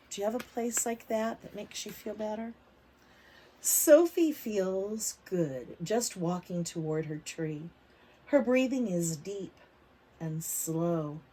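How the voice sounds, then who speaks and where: Lady doing preschool storytime, slow w drawn out words, bit husky, talks to the kids. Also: mid-range voice, low-quality recording. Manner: expressive, drawn-out emphasis, child-directed. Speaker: read-aloud storyteller for preschoolers. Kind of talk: expressive picture book reading with questions to young children.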